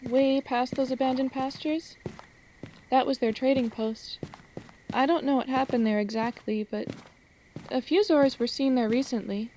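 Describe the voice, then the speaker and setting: Woman acting as a young fantasy ranch girl, high voice, chatty, teasing, expressive, audio drama, faint background noise. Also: moderate pace, close to an everyday voice, rough recording. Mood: concerned, friendly.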